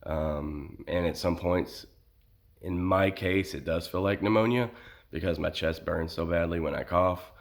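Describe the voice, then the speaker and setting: An American man talking casually in a personal vlog, halting as he searches for words, with a sore throat and a voice starting to give out from illness. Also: sits low. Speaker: vlogger sharing illness experience.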